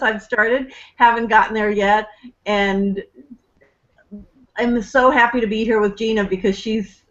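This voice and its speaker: Polite, measured woman on a video call. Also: mid-range voice, a bit bright. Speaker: guest. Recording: lo-fi online call audio.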